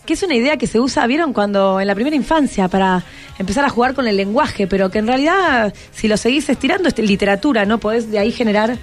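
A woman's voice: on the high side, lively intonation, fast, soft background music. An Argentine woman hosting a radio show, chatting casually with students in an encouraging way and asking short questions. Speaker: radio host. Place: radio broadcast.

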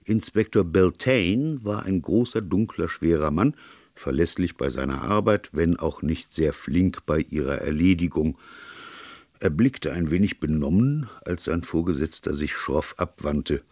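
A man's voice: low voice, lively intonation, a little slow, darkish tone, no room sound. A man reading a literary detective story as narrator in a scripted audiobook style.

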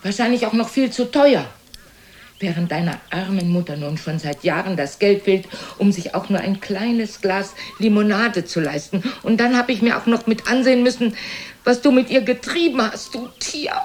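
Radio drama actress playing an old mother: mid-pitched, proud, wounded, accusatory, theatrical in her reproaches. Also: moderate pace, darkish tone, close to an everyday voice. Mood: indignant. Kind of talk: German radio play acting.

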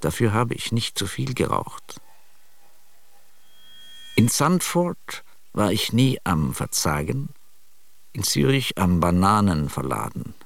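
Guy doing rhyming poetry super slow, lively up and down pitch, music blasting behind. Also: creaky, slight background noise. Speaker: reciter. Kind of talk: recited rhyming verse.

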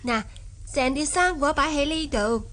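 A woman's voice: high-pitched, expressive, moderate pace, fairly bright tone. A woman playing a deferential, efficient young maid of a rich household in a Cantonese radio drama. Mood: friendly.

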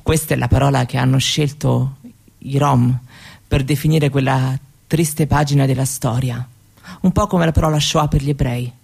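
A woman's voice: rumbling low, steady tone, moderate pace, gravelly, a little mellow, breathy edge, exaggerated voice. An actress playing a young Roma woman who shyly tells her grandmother's story in a hesitant, conversational theatre monologue. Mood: grave, sorrowful. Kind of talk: theatrical monologue told as spoken testimony.

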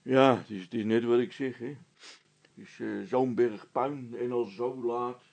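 Man playing storybook policeman, blustering, secretly scared, stammering, repetitive, creaky rasp. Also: mid-range voice, fairly even intonation, darkish tone, dry recording, lo-fi sound. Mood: shaken, sheepish. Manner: halting, stammering, repeats himself.

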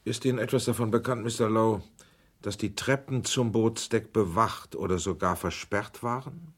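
A persistent examiner at an official inquiry in a radio play. The voice is deep and somewhat raspy. He questions formally and pointedly, pressing for each answer. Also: moderate pace. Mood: probing. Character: probing, persistent male inquiry committee examiner. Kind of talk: German radio documentary drama acting.

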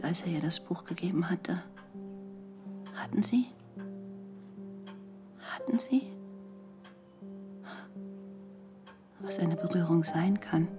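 An actress narrating a literary radio play as a pensive woman, measured and deliberate, telling of a tender memory. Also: on the low side, close to an everyday voice. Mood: hushed, wondering. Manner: measured, deliberate, in short pauses.

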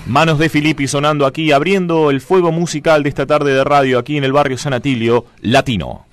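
A man hosting a radio news talk show, measured and announcer-like with dry teasing. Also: fairly high pitch, steady tone, over music. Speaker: radio host. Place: radio studio.

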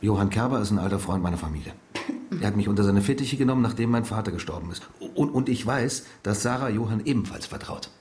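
Actor as a deep-voiced, controlled, menacing husband. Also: male voice, fast, a little mellow, natural voice. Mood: strained, hesitant. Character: returned husband, man, controlled, evasive, quietly menacing. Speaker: actor in a radio play. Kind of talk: German radio drama acting.

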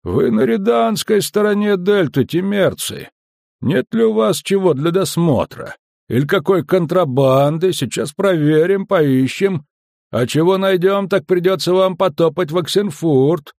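A man voicing a sly, unhurried customs officer who speaks slowly and clearly, with folksy mockery, in an audiobook. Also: mid-range voice, sing-song, a little mellow, dry recording, clean studio sound. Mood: mocking. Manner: slow, clear, drawling, mockingly folksy.

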